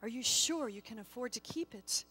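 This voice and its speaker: A woman voicing a solemn, firm male angel who speaks in grave, measured admonishment in a storybook reading. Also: fairly high pitch, expressive, pushed and bright, husky, in a large hall, soft background music, exaggerated voice. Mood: calm, firm.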